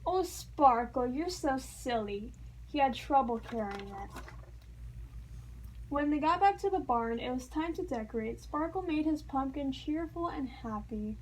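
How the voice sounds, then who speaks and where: High-voiced woman reading a children's story. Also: normal speed, slight background noise, poor audio. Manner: expressive storytelling with playful sound words. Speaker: storybook reader. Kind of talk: children's read-aloud narration.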